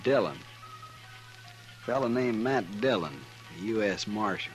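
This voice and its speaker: A cold, terse hired killer of the Old West, with a deep voice. He speaks in clipped, menacing phrases on a vintage radio drama recording with faint music. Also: lively intonation, takes it slowly, low-quality recording. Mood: cool menace. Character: menacing drifting hired killer from cattle country. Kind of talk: 1950s radio western acting.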